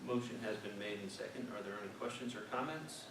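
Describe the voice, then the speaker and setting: A man's voice: on the low side, quick pace. Man chairing a formal meeting, very echoey, noisy. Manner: formulaic, procedural delivery. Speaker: school board meeting chair. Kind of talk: formal procedural meeting speech. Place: meeting room. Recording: noisy echoey meeting room, distant microphone.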